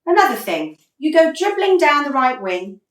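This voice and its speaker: A woman's voice: high-pitched, very animated, normal speed. A woman acting a blunt, exasperated female football manager in a radio play, scolding and emphatic. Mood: critical, sardonic. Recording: roomy, distant microphone.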